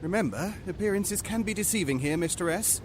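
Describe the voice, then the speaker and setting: An actor playing a polite, fretful explorer sidekick turned hungry cannibal in an audio drama. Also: male voice, sits high, expressive, fairly quick, noisy background. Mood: deferential, doubtful. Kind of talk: theatrical audio drama acting.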